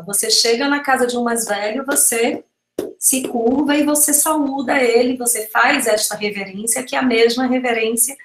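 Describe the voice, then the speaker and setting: A woman giving a reflective, explanatory talk as a panel speaker on a video call, often checking in with tag questions. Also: on the high side. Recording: roomy video-call audio.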